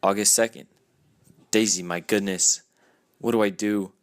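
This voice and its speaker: A man's voice: lowish voice, fairly even intonation, a little slow, no room sound, lightly acted. A young man reading as a brooding lovesick rich man. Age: young adult. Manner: hushed, brooding journal monologue.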